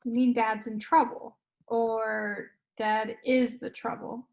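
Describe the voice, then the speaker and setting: A woman's voice: on the high side, flat delivery, takes it slowly, darkish tone, poor audio. A woman playing a wary, questioning teenage superhero daughter in an amateur table read. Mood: doubtful.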